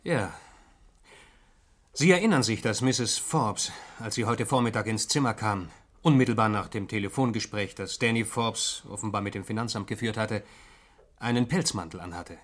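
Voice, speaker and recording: An actor playing a shrewd, composed male investigator in a German radio crime drama, talking deliberately and probingly. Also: fairly low pitch, fairly bright tone. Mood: confident, probing. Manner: deliberate, probing, coolly deductive.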